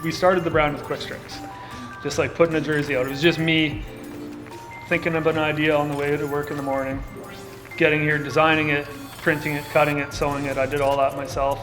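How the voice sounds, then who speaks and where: Middle-aged man from Canada, casual, slightly high voice, documentary interview with music underneath. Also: brisk, rough recording. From Saskatchewan, Canada. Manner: relaxed and casual, with many filler likes. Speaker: co-founder and designer.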